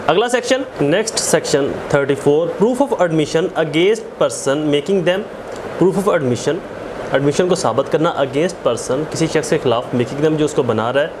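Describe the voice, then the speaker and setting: A man's voice: on the high side, lively intonation, unhurried, background noise. A man with a Pakistani accent lecturing didactically, explaining with examples and repeating phrases for emphasis. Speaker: law lecturer. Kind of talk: explanatory lecture mixing read-out statute with examples.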